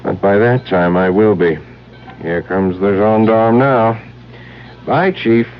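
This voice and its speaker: An actor playing a tough, cocky American investigator in a 1970s radio drama, with a wry, slangy, punchy delivery. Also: male voice, fairly low pitch, slow pace, loud music behind the voice. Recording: muffled, noisy 1970s radio recording.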